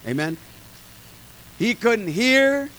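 A man's voice: very high-pitched, big pitch swings, drawn-out words, a bit bright, slight background noise. A middle-aged man preaching an informal sermon to a small group, folksy and emphatic, talking straight to his listeners. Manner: folksy, emphatic, talks to the congregation directly. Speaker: pastor.

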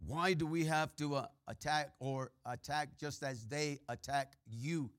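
A man with a Mexican-Texan accent preaching a sermon, full of "uh" and "amen" fillers, swinging between loud preaching and quiet asides. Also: fairly high pitch, fairly even intonation, measured pace, no echo, studio quality. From Mexico and Texas.

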